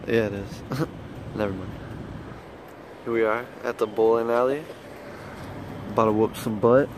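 A man's voice: lowish voice, noisy background. A young adult man vlogging casually into a handheld phone, chatting in a playful, teasing way. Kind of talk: casual chat to camera. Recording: loud music behind the voice.

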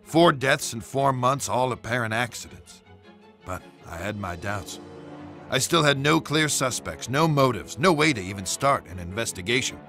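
An actor playing a weary, dogged American police detective in a video game, matter-of-fact and noir in style. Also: male voice, medium pitch, animated, moderate pace, vocal fry, bright, forceful tone, faint music behind. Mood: brooding. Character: weary, dogged male police detective investigating strange deaths. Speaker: detective character and narrator. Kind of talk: noir game voice acting and scripted narration.

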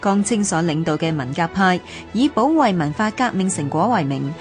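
A woman with a Hong Kong Cantonese accent, reading scripted documentary narration in a formal, measured way. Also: mid-range voice, a little mellow. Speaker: narrator of a radio history series. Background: music.

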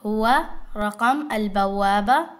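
A woman's voice: mid-range voice, fast, fairly bright tone. A woman speaking short words and phrases one at a time for learners to repeat. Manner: short, carefully spoken single words and phrases. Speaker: language teacher pronouncing words. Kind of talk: model word pronunciation for learners.

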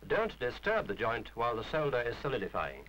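A man's voice: medium pitch, huge pitch swings, brisk, fairly bright tone, lo-fi sound, slight background noise. A man reading a scripted instructional film narration in a formal, precise, didactic manner.

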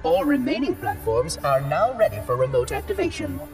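An actor playing a prim, officious floating robot caretaker in a video game, with formal and pedantic phrasing. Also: male voice, mid-range voice, wildly swooping pitch, a little fast, far from the mic, faint music behind. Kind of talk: video game cutscene acting.

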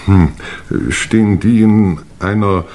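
An actor playing an impatient, flustered lord in a 1950s radio mystery, curt and given to hesitant interjections. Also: male voice, on the low side, fairly even intonation, slow pace, dark tone. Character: impatient, flustered English lord questioning his household.

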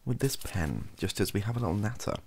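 A young man chatting softly in a rambling, self-deprecating ASMR style, hesitant and husky, close to the microphone. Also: lowish voice, animated, quick pace, noisy background. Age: young adult. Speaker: ASMR video creator. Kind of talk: softly spoken ASMR chat. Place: small bedroom at a desk.

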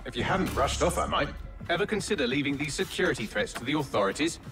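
A man's voice: fairly high pitch, sing-song, fast talker, music in the background. A man voicing a witty, unflappable artificial intelligence in a video game, with a British accent and a sardonic manner. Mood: sarcastic. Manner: sardonic, dry wit. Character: witty, sarcastic male AI assistant, unflappable. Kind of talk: video game cutscene acting.

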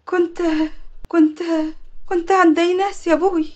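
Timid, devout young Upper Egyptian woman for serial fiction, high, apologetic and submissive acted by a female narrator. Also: fairly even intonation, rapid-fire, darkish tone. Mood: nervous, submissive. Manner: hesitant, apologetic.

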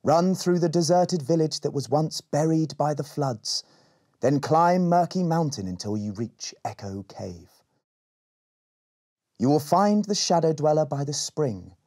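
Guy doing a mystical old elf sage, grand and commanding, raised husky voice, storybook. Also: fairly high pitch, animated, a little mellow, pitched above the performer's own voice. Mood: solemn. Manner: slow, commanding, portentous.

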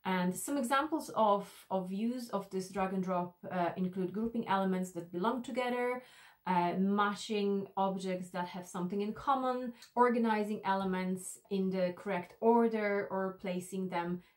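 A woman's voice: medium pitch, normal speed. Woman explaining in an instructional tutorial. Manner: explanatory, addressing the viewer directly. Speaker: e-learning instructor.

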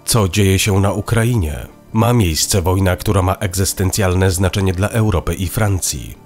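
A man narrates the news in a calm, measured studio read. His voice sits very low and deep. Soft music plays faintly underneath. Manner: even, measured reading. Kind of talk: scripted news voice-over. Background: faint background music.

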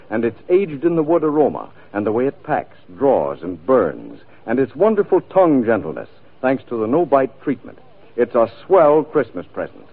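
A man reading a 1940s radio advertisement as a commercial announcer. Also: mid-range voice. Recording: tinny vintage radio broadcast.

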